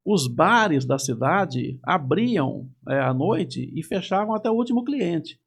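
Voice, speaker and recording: A middle-aged man speaks as a guest, his voice slightly high and gravelly with a steady fry. He talks in a measured way, often restarting his sentences as he recalls details from the past. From Campinas, Brazil. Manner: reminiscing, measured, with frequent restarts. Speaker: guest, TV news anchor. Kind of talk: casual interview chat.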